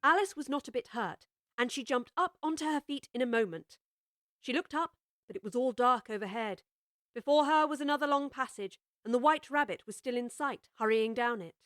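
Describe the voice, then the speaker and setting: A woman narrating a children's storybook audiobook with expressive, scripted storytelling. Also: fairly high pitch, moderate pace, no echo, clean studio sound.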